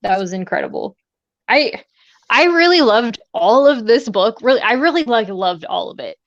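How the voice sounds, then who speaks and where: A woman co-hosting a casual book chat on a livestream, informal and a little halting. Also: on the high side, animated, no room sound, lo-fi sound. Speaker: livestream co-host discussing a book. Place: indoors, livestream call.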